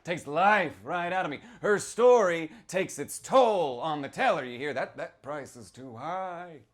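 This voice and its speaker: A man's voice: on the high side, lively intonation, measured pace. A man reading horror fiction aloud as a boozy old small-town storyteller, cagey and conspiratorial, prodding the listener and repeating himself. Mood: indignant, wheedling. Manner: theatrical, conspiratorial, repeats phrases, prods listener with "huh" and "hmm". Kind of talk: dramatic first-person horror reading.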